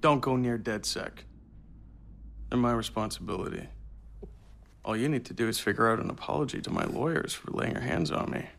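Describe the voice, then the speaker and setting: A man's voice: mid-range voice, expressive, fairly quick, vocal fry, long pauses, a bit bright. A man playing a smug, menacing tech executive in a video game, talking in a condescending, faux-friendly drawl with casual swearing. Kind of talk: naturalistic game cutscene acting.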